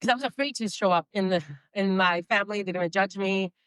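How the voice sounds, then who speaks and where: A woman with a slight Spanish accent, Spanish being her first language, giving an emphatic inspirational speech and slowing down for key phrases. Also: mid-range voice, a bit bright, smooth, no room sound. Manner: emphatic, slows down for key phrases, laughs between points. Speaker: motivational speaker.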